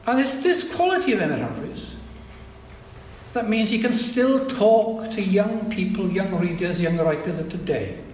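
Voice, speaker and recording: A man's voice: sits high, big pitch swings, moderate pace, darkish tone, slight background noise. An older man with a Welsh accent, a native Welsh speaker, giving a reflective public lecture with frequent restarts. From Wales. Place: lecture hall at a society event. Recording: echoey hall recording.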